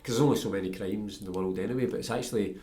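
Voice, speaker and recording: A man with a fairly low voice hosts a relaxed, conversational interview. He speaks thoughtfully and takes a roundabout route to his point. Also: rapid-fire. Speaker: interviewer, podcast host.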